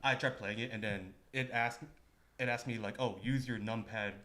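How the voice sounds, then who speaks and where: A man's voice: medium pitch, fairly even intonation, moderate pace, bright, forceful tone. A man co-hosting a podcast in casual chat, talking informally with slang and casual swearing. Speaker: podcast co-host.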